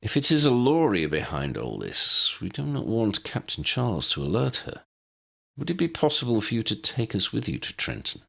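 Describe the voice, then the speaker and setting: A man voicing a formal, cautious man with measured, polite, wordy speech in an audiobook. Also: fairly low pitch, wildly swooping pitch, gravelly, bright, forceful tone, breathy edge, pitched above the performer's own voice, heavily acted. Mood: careful suggestion. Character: formal, cautious, chivalrous man who gently objects.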